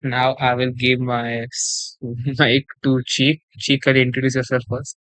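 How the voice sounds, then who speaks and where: Young guy from india hosting a gaming podcast, pretty monotone, dry close sound, keeps restarting his sentences. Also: mid-range voice, measured pace, no room sound, rough recording. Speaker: podcast host. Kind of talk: casual chat.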